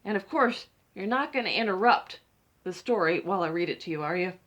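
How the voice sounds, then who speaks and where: Storybook chicken dad, read by a woman: patient, weary, gently chiding, very varied pitch. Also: lively intonation, fairly quick, muffled recording. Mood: gentle coaxing.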